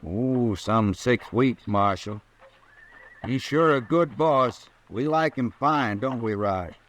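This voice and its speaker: A man's voice: medium pitch, animated, slow pace, fairly bright tone. A man with a rural Western American accent playing a glib, ingratiating cowboy ranch hand in a radio drama. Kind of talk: old-time radio western acting.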